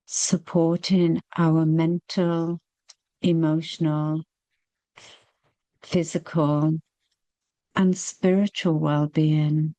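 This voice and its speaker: A woman leading a guided meditation, speaking gently and soothingly with long, unhurried pauses. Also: sits low, flat delivery, no room sound, low-quality recording.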